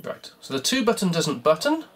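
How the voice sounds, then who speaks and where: A croaky speaker rambles through a self-mocking running commentary while taking apart a cassette player at home. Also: medium pitch, very animated, normal speed, a bit bright. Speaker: hobbyist repair vlogger.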